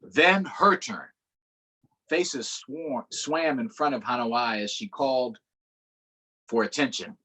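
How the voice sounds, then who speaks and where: A man reading a picture book aloud to children in an unhurried way, pausing for short explanatory asides. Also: medium pitch, pushed and bright, husky, poor audio. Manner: unhurried, adds explanatory asides to young listeners. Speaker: storytime reader.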